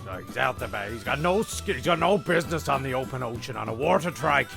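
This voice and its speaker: A man, narrating and voicing characters in a deadpan, exasperated way. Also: mid-range voice, fast talker, vocal fry, music in the background. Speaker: narrator and show creator. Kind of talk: comedy narration.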